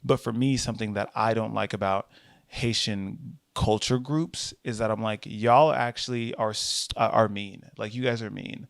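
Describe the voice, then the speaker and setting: A young American man talks through a solo commentary in a slightly low voice, rambling from point to point and leaping up into high mocking tones when he quotes others. The sound is close and dry, with no room in it. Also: clear, resonant tone, no echo. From United States. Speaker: podcast host.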